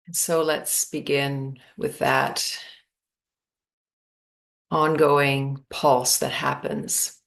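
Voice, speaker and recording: A woman leading a guided meditation, speaking calmly in spacious phrases with long pauses. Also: very deep voice, vocal fry.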